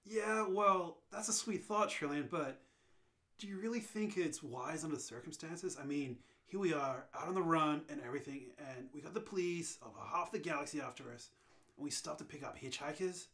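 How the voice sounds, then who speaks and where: Brash American space-president dude, acted by a man, slightly high voice, bossy and breezy, radio play reading. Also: moderate pace, pushed and bright. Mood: incredulous, breezy.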